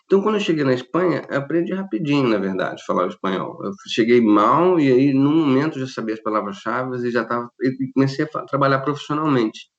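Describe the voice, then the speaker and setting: A middle-aged Brazilian man talking in an interview, animated and quick to laugh, often restarting his sentences. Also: mid-range voice, muffled recording. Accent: Brazilian Portuguese. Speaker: interview guest, lighting designer. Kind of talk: relaxed conversational interview.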